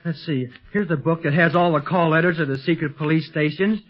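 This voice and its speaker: A male actor playing an eager, polite fifteen-year-old boy adventurer in a 1930s radio serial, boyish and excitable. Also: a little high, a little fast, a little hiss or hum, close to an everyday voice. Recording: muffled, dull 1930s radio recording.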